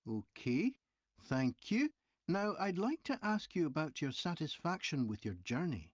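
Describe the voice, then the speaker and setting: An actor playing a polite train company representative asking survey questions in a scripted listening-test dialogue, clearly enunciated. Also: medium pitch, sing-song, unhurried, no room sound, lo-fi sound. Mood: polite, inquiring.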